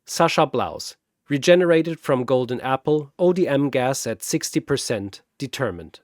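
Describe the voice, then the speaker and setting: A man's voice: medium pitch, animated, normal speed, dry recording, clean studio sound. A man narrating a scripted battle story and announcing its fighters in a dramatic style.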